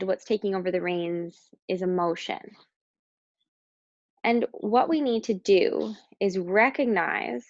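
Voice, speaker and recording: A woman leading a guided meditation, speaking calmly and slowly with pauses as she gives instructions. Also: mid-range voice, dry recording, dull audio. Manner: calm, slow, instructing with pauses.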